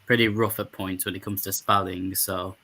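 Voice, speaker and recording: A man's voice: low voice, level tone, normal speed, pushed and bright. A man with an English accent, chatting casually as a guest on a livestream. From England. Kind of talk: casual chat.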